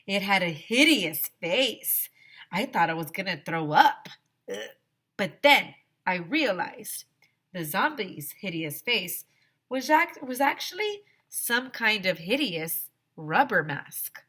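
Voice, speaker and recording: A woman teacher reading a children's story aloud expressively, with dramatic pauses and direct asides to young listeners. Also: medium pitch, very animated, bright, forceful tone, breathy edge.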